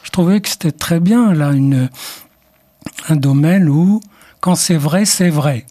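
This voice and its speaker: A man's voice: a little high, dark tone. An older man, a psychoanalyst with Arabic as his first language, answering radio interview questions in a reflective, hesitant way, from Morocco. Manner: slow, reflective, with hesitant restarts. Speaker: psychoanalyst guest.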